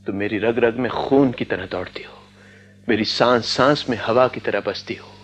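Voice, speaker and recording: An actor playing an earnest, poor young student passionately in love, with intense poetic delivery in a Hindi radio drama. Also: male voice, medium pitch, normal speed. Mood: ardent, tender. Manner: poetic, intense, breathy declarations.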